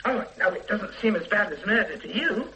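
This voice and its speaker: A woman's voice: medium pitch, animated, a little fast, bright, forceful tone, slight background noise, rough recording. A woman playing a blunt, defiant middle-aged shopkeeper, in a radio drama, who stammers and restarts her sentences. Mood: stubborn. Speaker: witness.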